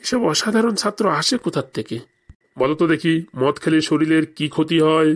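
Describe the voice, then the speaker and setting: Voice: mid-range voice, animated, a little fast, close to an everyday voice. A performer voicing a stern, sarcastic male headmaster in a comedy cartoon. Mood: exasperated, sarcastic. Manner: sarcastic, scolding interrogator.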